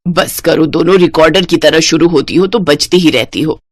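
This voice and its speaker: Woman putting on a grumbling older mother-in-law, low-pitched. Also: normal speed, dry recording, studio quality. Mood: fed up. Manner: grumbling, theatrical lamenting. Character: weary, exasperated older mother-in-law, dramatic complainer.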